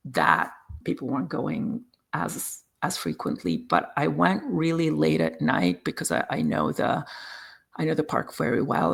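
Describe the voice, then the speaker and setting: Gravelly, animated man. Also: mid-range voice. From Serbia. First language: Serbian. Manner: halting, many fillers and restarts. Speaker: guest, sound healing practitioner. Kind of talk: personal storytelling in conversation.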